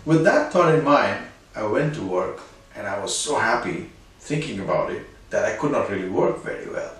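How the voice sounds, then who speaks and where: A man's voice: fairly low pitch, normal speed. A middle-aged man from India with an Indian English accent, telling a personal anecdote in a conversational way and closing with a lesson. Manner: animated, conversational, moral at the end. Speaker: storyteller host. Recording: very echoey room, distant mic, faint music.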